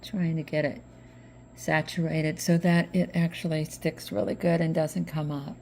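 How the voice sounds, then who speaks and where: Hoarse-ish middle-aged woman doing a craft how-to, lowish dark voice, laid back. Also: a little slow, slight background noise. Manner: chatty, step-by-step, relaxed. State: slightly hoarse from allergies. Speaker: craft channel host. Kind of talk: casual craft tutorial narration.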